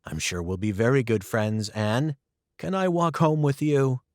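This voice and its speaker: A man voicing a gentle, friendly young man in a storybook reading, close to his own voice. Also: medium pitch, very animated, measured pace, dry recording.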